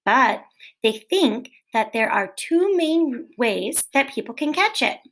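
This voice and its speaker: Woman reading aloud to children, bit high. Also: takes it slowly. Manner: gentle, clear, expressive reading with questions. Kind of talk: read-aloud storytelling for children.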